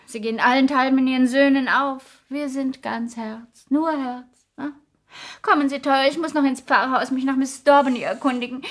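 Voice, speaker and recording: An actress as a high-voiced, dithering older society lady. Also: close to an everyday voice. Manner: affected, dithering, gushing. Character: chatty, scatterbrained older society lady, gushing and well-meaning. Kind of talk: 1960s German radio comedy-of-manners acting.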